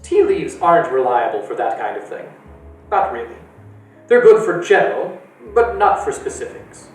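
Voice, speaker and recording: A man voicing a fussy elderly woman, a retired stage actress, in a high, fluttery voice. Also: sing-song, moderate pace, heavy reverb, distant mic, faint music behind. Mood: confiding.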